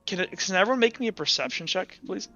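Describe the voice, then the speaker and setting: Guy game master, kinda high bright voice, halting narration, slightly muffled mic w music behind. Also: animated. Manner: halting, informal. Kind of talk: narrating a tabletop roleplaying game, improvised.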